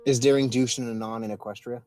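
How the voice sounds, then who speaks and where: Man bantering casually, mid-pitched voice. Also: steady tone, quick pace, mellow, soft background music.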